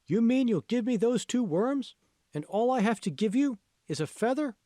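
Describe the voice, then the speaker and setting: A naive male sparrow played by a man speaks with eager wonder. The voice is high and swooping, excitable in a storybook fable way. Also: moderate pace, no room sound, clean studio sound. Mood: eager, surprised.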